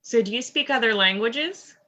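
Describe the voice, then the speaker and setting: A woman's voice: fairly high pitch, lively intonation, moderate pace, muffled recording, a crowd in the background. A woman hosting a casual podcast interview, upbeat and chatty, with plenty of fillers and "like". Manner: upbeat, lots of fillers and 'like'. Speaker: podcast host.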